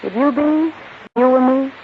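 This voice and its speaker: Coy, high-voiced callous young woman. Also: a little slow. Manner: coy, mocking. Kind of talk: 1950s radio drama acting. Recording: dull 1950s radio recording, faint noise.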